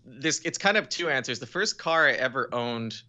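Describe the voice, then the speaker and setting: A man from Quebec, Canada, an automotive journalist telling stories as a guest in a casual podcast interview, relaxed and wryly humorous. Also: medium pitch, normal speed. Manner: relaxed storytelling, wry humor.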